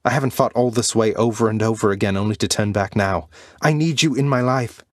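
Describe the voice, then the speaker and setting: A male actor voices a young prince of the underworld in a dramatic video game. His voice sits fairly low and is recorded dry and close. He is earnest and defiant, with formal, slightly archaic phrasing that turns halting when feelings rise. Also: dry recording, natural voice. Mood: hurt, pleading. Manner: earnest, formal old-fashioned wording, halting when emotional. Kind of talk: video game character dialogue, dramatic acting.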